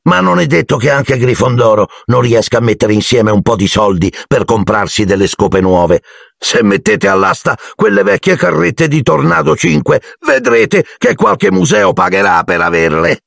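A man's voice: on the high side, lively intonation, normal speed, creaky, a bit bright, no room sound, clean studio sound, pitched above the performer's own voice, full character voice. A man voicing a spoiled rich teenage bully with a smug, drawling sneer. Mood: mocking.

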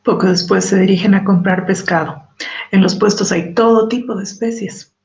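A woman's voice: medium pitch, fairly even intonation, moderate pace, darkish tone. A woman reading a children's storybook aloud in the manner of an elementary school teacher. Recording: dull echoey room recording.

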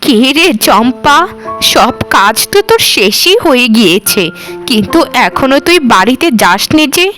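A woman voicing an elderly lady of the house in a cartoon, nagging but fond, with drawn-out phrases. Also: a little high, sing-song, sharp tone, soft background music, heavily acted. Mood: concerned. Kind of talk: cartoon voice acting.

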